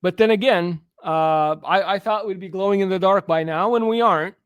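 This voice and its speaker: High-voiced man preaching on air. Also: normal speed, clean tone, no room sound. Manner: earnest, preachy, with stammered restarts. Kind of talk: preaching commentary.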